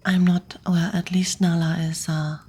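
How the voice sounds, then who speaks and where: A woman streaming ASMR, whispering and speaking very softly as she chats casually with her viewers. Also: fairly low pitch, level tone, measured pace. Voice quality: breathy, whispered. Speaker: streamer hosting a live ASMR reading. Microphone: close microphone, slightly off to the side.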